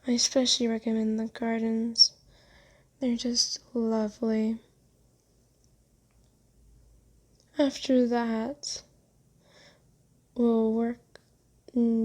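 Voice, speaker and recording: A woman playing a kind, doting, genteel vampire in an ASMR roleplay, speaking softly and soothingly with teasing endearments. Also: on the high side. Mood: gentle, reassuring.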